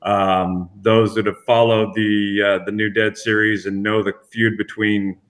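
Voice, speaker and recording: A man speaking as a podcast guest, chatting informally and a little haltingly about his own work. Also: fairly low pitch, level tone, very noisy.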